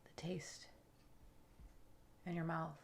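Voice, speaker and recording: A woman leading a guided relaxation meditation in a soothing, unhurried way, with soft, breathy phrase endings. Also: deep voice, little pitch movement, a little hiss or hum. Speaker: yoga nidra meditation guide.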